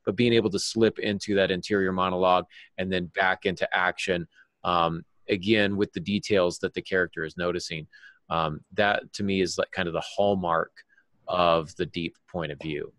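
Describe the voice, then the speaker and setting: A man on a discussion podcast, speaking analytically and haltingly as he thinks aloud. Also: deep voice, steady tone, fairly bright tone, no room sound. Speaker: podcast panelist.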